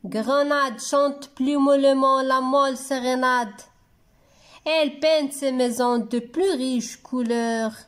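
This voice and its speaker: A woman reciting a poem as a teacher would for pupils, in a measured, deliberate way, phrase by phrase. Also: high-pitched, fairly even intonation. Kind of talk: slow poetry recitation for dictation and reading lesson.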